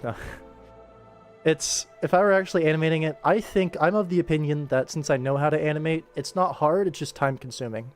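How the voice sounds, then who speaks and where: A man chatting casually as a livestream host while he works, with a dry, tongue-in-cheek sense of humour. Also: mid-range voice. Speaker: livestream host, animation director. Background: music.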